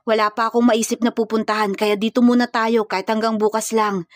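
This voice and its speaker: A woman's voice: a little high, little pitch movement, fairly quick, no room sound, studio quality, natural voice. A woman voicing a serious, protective young male hired killer in a dramatized romance story, firm and commanding. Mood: guarded, serious.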